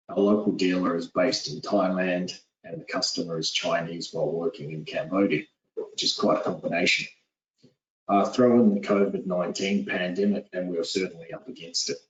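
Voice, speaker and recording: A man in his forties with a New Zealand accent, giving a steady, businesslike webinar presentation with frequent "uh"s over a call. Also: deep voice. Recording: echoey, distant, dull lo-fi audio.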